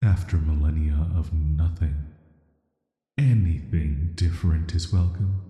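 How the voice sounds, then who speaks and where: A man plays an ancient banished villain, intimate and quietly dangerous, in an atmospheric sleep-aid roleplay. His voice is very low, dark and soft. He speaks in a flat, deliberate, flirty way, surrounded by echo. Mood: calm, intrigued. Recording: echoey voice with long reverb.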